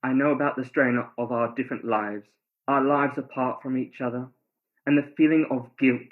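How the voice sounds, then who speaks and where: An actor playing a tender, ardent, pleading lover in a radio play, earnest and romantic in delivery. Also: male voice, mid-range voice, fairly even intonation, normal speed, natural voice. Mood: tender, sorrowful. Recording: thin phone-like sound.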